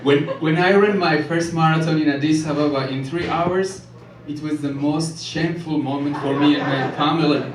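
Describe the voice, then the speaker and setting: A man from Ethiopia performing a stand-up set in a comedy club, delivering jokes deadpan and chuckling at his own lines. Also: a little high, normal speed, hardly any pauses. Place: comedy club stage with live audience. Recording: echoey club room, from far off, loud noise.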